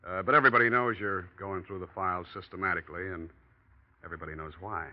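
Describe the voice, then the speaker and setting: Male actor: crooked office manager, low-pitched, shifty and curt, vintage radio noir, muffled old recording. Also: fairly even intonation, quick pace, lightly acted. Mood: falsely casual. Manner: hesitant cover-ups, curt snapping. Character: scheming, nervy crooked office manager, adult man. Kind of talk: 1940s radio noir acting.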